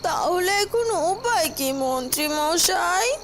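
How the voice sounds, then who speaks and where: Woman putting on a high, coaxing palace accomplice. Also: animated, takes it slowly, slight background noise, exaggerated voice. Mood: worried. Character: sly female palace accomplice, coaxing and concerned.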